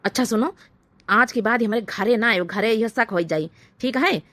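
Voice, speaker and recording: A woman's voice: a little high, moderate pace, fairly bright tone, dry recording, lightly acted. A woman voicing a sneaky, short-tempered village husband in a comedy cartoon, with a brusque, rustic Awadhi accent. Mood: furtive.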